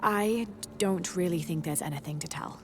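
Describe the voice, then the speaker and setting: A woman's voice: fairly low pitch, normal speed, close to an everyday voice. Woman as an earnest young adventurer, music behind. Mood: focused, calm. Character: earnest young female adventurer, caring and determined. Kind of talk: naturalistic video game acting.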